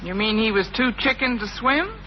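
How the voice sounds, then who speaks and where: A woman's voice: a little high, moderate pace, lightly acted. Woman playing a wry schoolteacher on old noisy radio. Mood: sarcastic. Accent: American. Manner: dry, deadpan wisecracking. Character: wry, lovelorn woman schoolteacher, sharp-tongued and sarcastic. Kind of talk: 1950s radio sitcom acting with first-person narration. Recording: dull, noisy 1950s radio recording.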